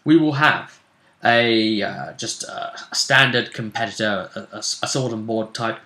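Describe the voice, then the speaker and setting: A man at the head of a gaming table in an echoing room, brightly weighing up who might win the next bout. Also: fairly low pitch, a little slow. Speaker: host of a tabletop role-play vidcast. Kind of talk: casual tournament preview commentary. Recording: roomy echo.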